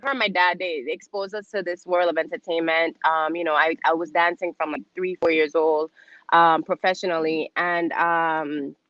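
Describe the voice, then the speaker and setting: A young woman from St. Croix with a Crucian accent, chatting lively as an interview guest over a video call. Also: low-pitched, moderate pace, no echo. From US Virgin Islands, St. Croix. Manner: lively, laughs often, says "listen". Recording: dull lo-fi call audio.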